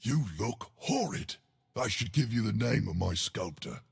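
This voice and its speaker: An actor voicing a huge, friendly, blustery stone gargoyle in a video game, with boastful, simple wording and a gravelly voice. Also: male voice, lowish voice, lively intonation, unhurried, heavily acted. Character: huge friendly stone gargoyle colossus, blustery, dim, big-hearted protector. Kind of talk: video game character voice lines.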